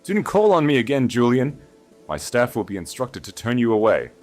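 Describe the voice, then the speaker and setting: A man's voice: medium pitch, very animated, a little mellow, faint music behind, voice pushed up, close to an everyday voice. A man voicing a cold, calculating, contemptuous aristocratic woman with clipped disdain in a dramatic audiobook story. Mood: sneering. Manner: icy, slow, clipped disdain.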